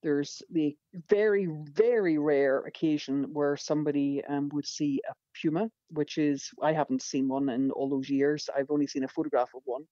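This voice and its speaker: A woman's voice: low-pitched, fairly even intonation, normal speed, few pauses, warm tone, dry recording, dull audio. A woman with a Northern Irish accent, a fishing guide telling stories as a podcast guest, enthusiastic and rambling with many fillers. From Northern Ireland.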